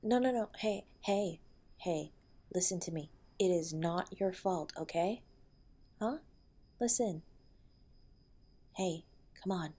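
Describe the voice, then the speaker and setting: A woman playing a protective wife, low breathy voice. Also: slow, long pauses, mellow. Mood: gently reassuring. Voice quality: breathy, often dropping to a whisper. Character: protective loving wife comforting and defending her husband. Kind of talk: intimate audio roleplay acting.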